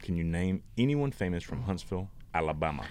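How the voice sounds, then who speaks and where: A man's voice: sits low, lively intonation, fairly quick, darkish tone, clear, resonant tone. A man co-hosting a casual podcast, teasing his co-host with playful questions. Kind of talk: casual banter.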